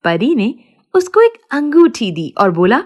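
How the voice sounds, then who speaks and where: A woman's voice: on the high side, big pitch swings, a little slow. A woman narrating a scripted moral story for children as a storyteller.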